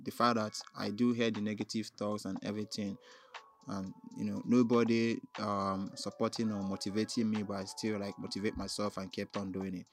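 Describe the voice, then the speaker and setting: A man with a Nigerian, Igbo-influenced accent, a native Igbo speaker, answering casually as an interview guest, often pausing and circling back as he thinks aloud. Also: on the low side, level tone. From Onitsha, southeastern Nigeria. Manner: halting, frequently repeats "like" and "you know" while thinking aloud. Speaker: music artist interviewed as guest.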